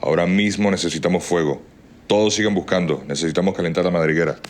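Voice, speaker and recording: Man acting a very deep-voiced, stern sergeant. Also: fast talker, lightly acted. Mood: severe, urgent. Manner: firm, commanding. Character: stern army sergeant, severe commander of his men. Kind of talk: amateur audio drama acting.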